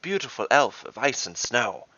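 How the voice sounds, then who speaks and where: A man's voice: mid-range voice, sing-song, normal speed, pushed and bright, dry recording, dull audio, pitched above the performer's own voice. A man voicing a curt, easily bored talking cardinal in a fantasy story. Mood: curt, blunt.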